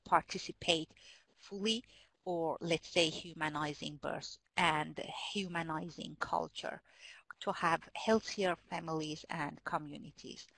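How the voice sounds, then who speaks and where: A woman from northwest Iran with a Turkish-tinged Iranian accent, presenting carefully in a webinar with many pauses and fillers. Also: sits low, steady tone, creaky, a bit bright, poor audio. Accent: Iranian, Turkish-speaking northwest. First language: Azerbaijani Turkish. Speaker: conference presenter. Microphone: computer mic in a web meeting.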